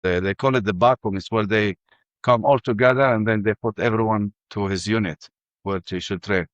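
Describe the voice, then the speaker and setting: An older man with an Arabic accent telling his life story in halting English full of restarts. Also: on the low side, fairly even intonation, no echo, highs cut off. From Ramallah. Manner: halting, many restarts and fillers. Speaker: interview guest. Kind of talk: personal testimony.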